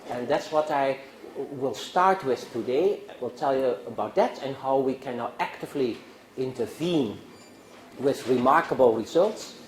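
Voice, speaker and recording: Older Dutch-accented man lecturing in echoey classroom. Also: medium pitch. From Netherlands. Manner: discursive, halting restarts, rhetorical questions to students. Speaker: guest lecturer. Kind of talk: university lecture. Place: university classroom. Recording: echoey classroom with faint noise.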